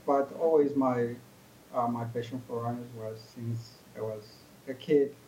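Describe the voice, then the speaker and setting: A man from Ecuador with an Ecuadorian Spanish accent, a native Spanish speaker, answering as a podcast guest, earnest and halting. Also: mid-range voice, steady tone, mellow, a little hiss or hum, rough recording. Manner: earnest, halting, searching for words. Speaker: guest runner. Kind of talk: podcast interview.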